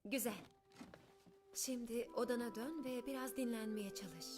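Caring, persuasive cartoon aunt, coaxing, fairly high, acted by a woman, music clearly behind. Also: measured pace, close to an everyday voice. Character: young aunt, caring but firmly persuasive. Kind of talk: dubbed cartoon acting.

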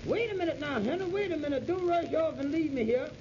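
A man's voice: very high voice, animated, fast talker, muffled recording. An actor playing an anxious, eager, lovesick man in a 1920s comedy phonograph routine. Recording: noisy, band-limited 1920s phonograph record.

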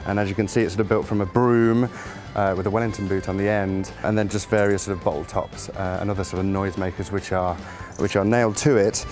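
Low-voiced man guiding a theatre tour. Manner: calm, explanatory, unhurried. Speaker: musical director. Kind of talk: guided tour to camera. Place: theatre stage set, indoors. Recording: music playing underneath.

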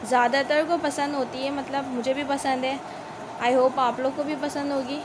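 A woman's voice: high-pitched, little pitch movement, normal speed. A woman hosting a home cooking video, chatty and homely, talking viewers through each step in a home kitchen. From Pakistan. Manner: homely and chatty, keeps saying "see" to viewers. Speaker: home cooking channel host. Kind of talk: step-by-step recipe voice-over. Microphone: phone or camera filming a countertop from above. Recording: noisy home phone recording.

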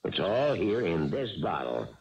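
An actor playing a crafty, middle-aged American baseball manager in a radio drama, folksy and coaxing. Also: male voice, sits low, sing-song, a little slow, darkish tone, exaggerated voice. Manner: folksy, persuasive. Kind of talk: 1970s radio drama acting. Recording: dull, muffled old radio recording.

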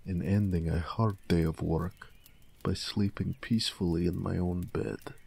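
Male actor as a humble orc blacksmith, low-pitched, mellow, tender, earnest, halting, intimate audio roleplay. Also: steady tone, creaky, husky, heavily acted. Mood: wistful, tender. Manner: soft-hearted, earnest, halting delivery.

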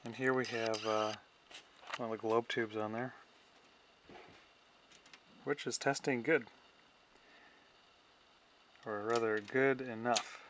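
A man's voice: medium pitch, steady tone, slow, pauses often, fairly bright tone. A man giving a casual technical demonstration, thinking aloud as he works. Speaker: radio restorer narrating his own repair. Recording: clear background noise.

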